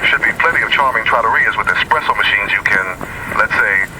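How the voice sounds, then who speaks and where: A man's voice: on the low side, a little fast, reverberant, heavy background noise, natural voice. A man playing an easygoing, charming trader in a video game, casual and wry as he hands out errands. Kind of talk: scripted video game dialogue.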